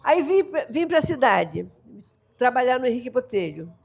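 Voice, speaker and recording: An older woman from the São Paulo coast with a caiçara accent, telling folk stories in a lively, laughing way as an interview guest. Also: fairly high pitch, normal speed, vocal fry, husky. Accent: Brazilian Portuguese, São Paulo coast (caiçara). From São Paulo coast, Brazil. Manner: lively, laughing, voices family members in dialogue. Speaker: storyteller guest. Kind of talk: animated storytelling of folk tales.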